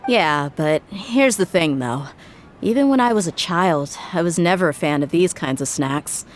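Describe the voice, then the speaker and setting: A woman's voice: lowish voice, animated, normal speed, slight background noise, natural voice. A woman playing a tough, blunt young mercenary in a video game, dry, frank and wry. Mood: exasperated.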